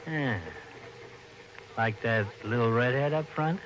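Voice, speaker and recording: An American actor playing a good-natured, grumbling police sidekick in a 1950s radio drama, easygoing and gently needling. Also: male voice, medium pitch, slow. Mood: sheepish. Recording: faintly noisy old radio recording.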